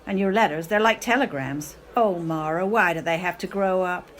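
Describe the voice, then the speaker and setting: A woman's voice: lowish voice, normal speed, a little hiss or hum, close to an everyday voice. A woman acting a melodramatic, self-pitying, clinging middle-aged mother in a radio play, with theatrical, affected speech. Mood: doting, wistful. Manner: theatrical, affected, sprinkles in foreign phrases.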